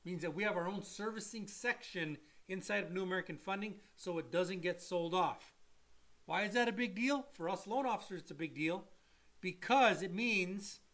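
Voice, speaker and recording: A man's voice: high voice, moderate pace, fairly bright tone. A man who works as a mortgage loan officer, co-hosting a casual chat and explaining loans to viewers in an emphatic, blunt way and repeating his key points.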